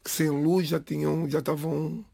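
A middle-aged man from the Lisbon area whose first language is Cape Verdean Creole, giving a halting, reflective personal account in an interview. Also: fairly high pitch, little pitch movement, vocal fry, warm tone, no room sound. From Portugal, Lisbon area. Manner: halting, restarts phrases, pauses between words.

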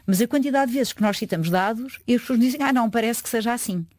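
A woman's voice: on the high side, fast, a little mellow, no echo. Earnest woman in her fifties, dry sound. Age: 50s. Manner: earnest, emphatic, frequent hesitations. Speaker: UN agency official, guest. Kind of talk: conversational interview answers.

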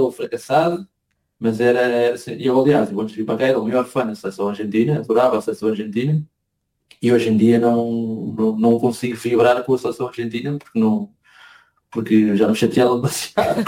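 A man's voice: mid-range voice, fairly even intonation, fast, dark tone, rough recording. A man with a European Portuguese accent chatting casually and telling anecdotes, often repeating words and acting out dialogue. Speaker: interview guest, emigrant living in Argentina.

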